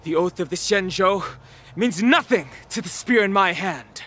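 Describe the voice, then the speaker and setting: An actor playing a stern, righteous spear-wielding wanderer in a video game, with a grave, formal, measured delivery. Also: male voice, sits high, a bit bright. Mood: calm, determined. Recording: game music behind the voice.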